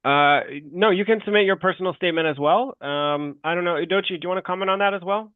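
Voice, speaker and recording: An American man speaks over a thin, phone-like video call line, his voice smooth and close with no room sound. He answers questions for a lender in a webinar, halting and restarting often, padding with "um" and "uh". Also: high voice, no room sound. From United States. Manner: hesitant, many fillers and restarts.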